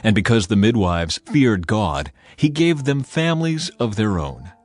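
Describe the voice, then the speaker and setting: Guy doing bible storytelling, deepish voice that moves around a lot, bit of music under it. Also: very animated, a little slow, no echo. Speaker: Bible narrator. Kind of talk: dramatized scripted scripture narration. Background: background music.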